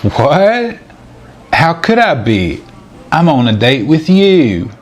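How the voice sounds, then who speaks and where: A man's voice: fairly high pitch, sing-song, slow pace, exaggerated voice. A man playing a flustered, naive guy caught two-timing on a date, in a comedic dramatization. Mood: defensive. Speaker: actor in a sitcom-style dramatization. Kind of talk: acted comedic date dialogue.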